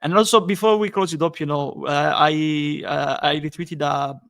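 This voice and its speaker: Flat-toned man hosting a podcast. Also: on the high side, gravelly. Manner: halting, many restarts, upbeat. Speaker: podcast host. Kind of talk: casual football podcast chat.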